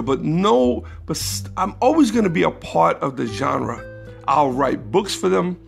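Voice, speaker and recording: A man hosting his own podcast in a casual, rambling monologue, his voice raspy, with many restarts that turn emphatic. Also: a little high. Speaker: podcast host.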